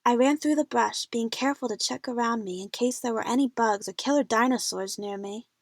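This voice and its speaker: Woman performing a frightened young female narrator, high pitch, heavy vocal fry, dry recording, audio drama storytelling. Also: no echo. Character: frightened young female King Kong fan narrating her jungle ordeal. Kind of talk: first-person scripted audio drama narration.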